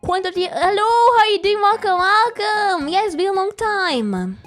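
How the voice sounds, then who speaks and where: A woman's voice: extremely high pitch, expressive, normal speed, fairly bright tone. A young woman, chatting casually and playfully on a live stream, giggling often and rambling. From Brazil. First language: Portuguese. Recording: faint music behind.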